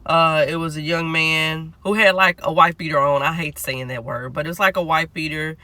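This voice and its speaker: Nasal, bright-voiced man reading tarot. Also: on the high side, fairly even intonation, moderate pace, no echo. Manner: chatty, keeps checking in with "okay?". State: nasal, getting over a cold. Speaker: tarot reader. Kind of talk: collective tarot reading to camera.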